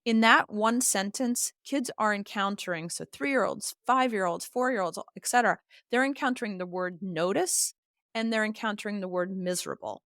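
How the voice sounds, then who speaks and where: A woman's voice: mid-range voice, moderate pace, a bit bright, no echo, studio quality. A woman giving explanatory interview answers as an expert guest, speaking in an articulate, emphatic, teacherly manner. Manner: articulate, emphatic, teacherly, uses examples and lists. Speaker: expert guest, literacy educator and author.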